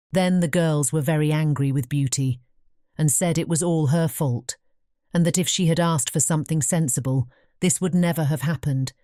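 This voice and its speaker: A woman's voice: low-pitched, warm tone, dry recording, clean studio sound. A woman narrating a fairy tale audiobook from a script.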